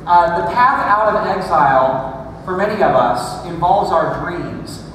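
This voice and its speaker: A man's voice: high-pitched, lively intonation, measured pace, fairly bright tone, slight background noise. A man in his thirties, a pastor preaching a sermon on a church stage, talking in a conversational way with dry self-deprecating jokes. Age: 30s. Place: large church stage with screen. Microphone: room mic far from stage. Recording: echoey hall recorded from far off.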